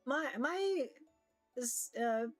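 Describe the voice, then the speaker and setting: A woman's voice: high voice, expressive, very slow, faint music behind. A woman streamer reading a visual novel aloud as narration and commenting casually as she plays.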